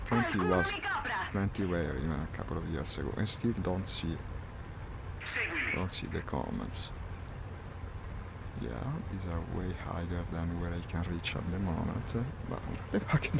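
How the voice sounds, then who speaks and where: Low, creaky-voiced man streaming over noise. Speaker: game streamer. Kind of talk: live flight-sim commentary, casual chat. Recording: clearly noisy background.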